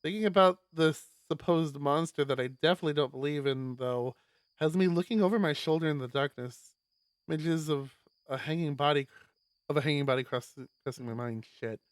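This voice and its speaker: A man streaming a live read-aloud of a visual novel, expressive, with stumbles and casual asides. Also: fairly high pitch, brisk, dry recording. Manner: expressive, stumbles over words while reading.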